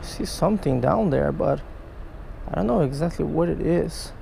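A man giving casual, excitable running commentary while fishing outdoors at a creek, talking to the fish and his viewers, recorded on a chest-mounted action camera. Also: fairly high pitch, normal speed, gravelly, slight background noise. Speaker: fishing vlogger. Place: outdoors by a creek under a stone bridge.

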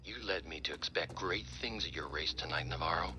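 An actor playing a sinister, quietly threatening crime lord with a hushed voice in a video game. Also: male voice, sits low, fairly quick, slight background noise. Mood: quiet menace. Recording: music behind the voice.

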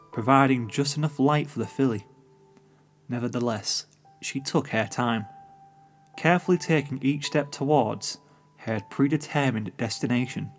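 A man reading scripted story narration as the narrator. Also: mid-range voice. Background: music.

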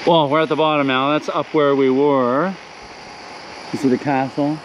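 A chatty travel vlogger man talks straight to his viewers while walking outdoors. His voice sits a bit high for a man, and the camera mic picks up plain street and traffic noise around him. The sound is outdoor camera audio rather than a studio recording. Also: flat delivery, moderate pace. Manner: upbeat, chatty, addresses viewers directly. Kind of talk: casual travel vlog narration. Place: outdoors in a town and on a mountain trail.

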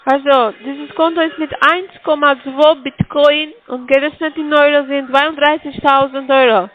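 A woman making a scam phone call, reading a halting, scripted pitch. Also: steady tone, slight background noise. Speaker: scam caller.

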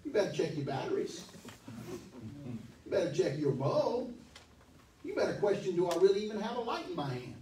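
A man in his forties preaches with a high, swooping voice and emphatic energy, speaking into a handheld mic. He is heard from a distance in a roomy church hall that rings with echo, under a faint hum of background noise. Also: a little fast, pauses often. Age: 40s. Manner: animated, emphatic, pacing the stage. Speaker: pastor. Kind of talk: sermon. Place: church hall, medium-sized, indoors. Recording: echoey hall, from far off.

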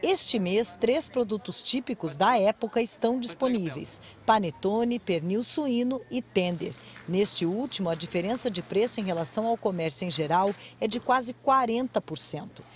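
A woman, narrating a news report in a measured way, reading out prices and percentages. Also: medium pitch, animated. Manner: measured, figure-heavy narration. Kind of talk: scripted news voice-over.

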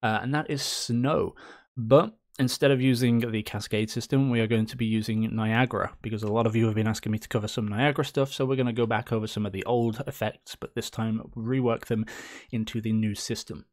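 A man hosting a screen-recorded game engine tutorial, chatty and upbeat with playful little cheers, his voice slightly hoarse from a coming cold. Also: fairly low pitch, fairly even intonation, fairly quick, hardly any pauses.

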